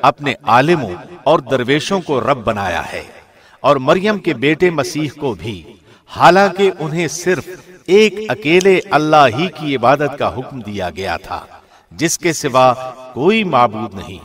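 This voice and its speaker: Expressive male scripture reader, mid-pitched. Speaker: translation reader, radio presenter. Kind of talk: formal scripted reading of scripture translation. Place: studio.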